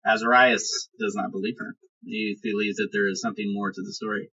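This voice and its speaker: A man narrating a tabletop game as game master, improvising descriptions in a halting storytelling manner. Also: on the low side, little pitch movement, sharp tone, husky, highs cut off.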